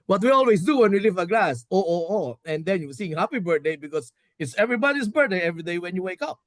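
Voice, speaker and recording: Filipino-accented man, lively up-and-down voice, playful explainer, dry close sound. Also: high-pitched, fast, no echo. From Philippines. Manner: playful, explaining with many "right?" tags. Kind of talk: casual chat.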